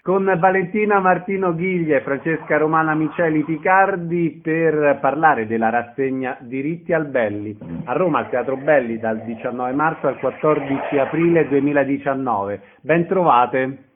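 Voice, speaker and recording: A man's voice: fairly high pitch, normal speed, few pauses, over music. A man hosting an informal radio interview, introducing guests and asking questions. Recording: dull radio sound with background voices.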